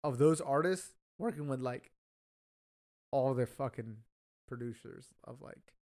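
A man's voice: medium pitch, measured pace, a little mellow. A man co-hosting a podcast, chatting casually about an album, rambling with lots of fillers and swearing. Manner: rambling, profane, many fillers. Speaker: podcast co-host. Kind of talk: casual music review chat.

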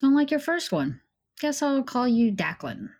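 A woman's voice: sits high, sing-song, unhurried, a little mellow, full character voice. A woman voicing a tough, blunt female mercenary who speaks in dry, clipped threats, in an audio drama.

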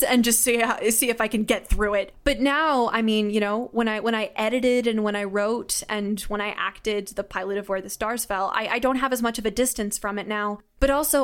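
A woman's voice: fairly high pitch, smooth. A woman aged nineteen talking casually and candidly as an interview guest, chatty and self-deprecating. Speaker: guest, audio drama writer and sound designer.

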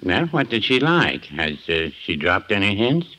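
An actor playing a mild older shopkeeper in a 1950s radio comedy, gently teasing in a prim, deadpan, hemming manner. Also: male voice, fairly low pitch, measured pace, exaggerated voice, poor audio. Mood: helpful, prim.

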